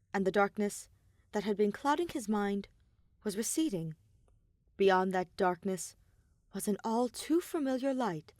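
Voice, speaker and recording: A woman reading scripted fiction as an audiobook narrator, with expressive, deliberate storytelling. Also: mid-range voice, dry recording, clean studio sound. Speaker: podfic narrator.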